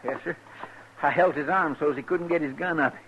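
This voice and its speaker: An actor playing an eager, obedient frontier deputy in a 1950s radio western, rustic and deferential. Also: male voice, fairly high pitch, fairly quick, a bit bright. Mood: earnest. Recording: muffled, noisy 1950s radio recording.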